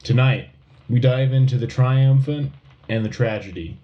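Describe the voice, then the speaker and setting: A man playing a solemn, patriotic wartime radio news anchor in a scripted dramatic newscast, with a grave, rousing, measured delivery. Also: mid-range voice, warm tone, highs cut off, lightly acted. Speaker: radio host.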